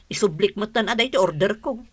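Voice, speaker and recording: A plain-spoken, easily aggrieved Filipino housewife in a comedy radio play. Her voice is sharp and forceful, sitting at a middle pitch. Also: expressive, fairly quick, slightly rough, no echo.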